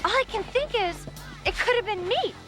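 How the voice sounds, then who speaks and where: A woman's voice: very high voice, lively intonation, normal speed, a bit bright, soft background music. A woman playing a self-absorbed, vain teenage girl in a television drama, speaking dramatically. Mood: self-pitying.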